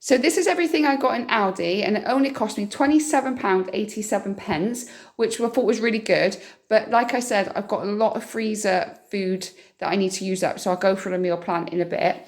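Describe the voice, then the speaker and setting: A woman, a little hoarse and croaky from a cough, chatting through her grocery haul in a homely, rambling way. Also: medium pitch, fairly quick. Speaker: vlogger. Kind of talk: casual grocery haul vlog.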